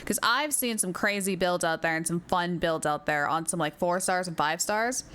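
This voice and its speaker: A woman's voice: on the low side, normal speed, a bit bright, clear, resonant tone. A woman game streamer chatting casually, thinking aloud as she reads and answers viewer comments. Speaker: game livestreamer. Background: faint game music.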